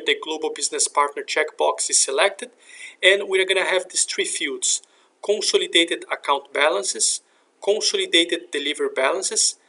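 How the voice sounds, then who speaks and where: A man narrating a software demonstration in a step-by-step, instructional way. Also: fairly high pitch. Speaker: software demo presenter. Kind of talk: scripted software tutorial narration. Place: screen recording voice-over.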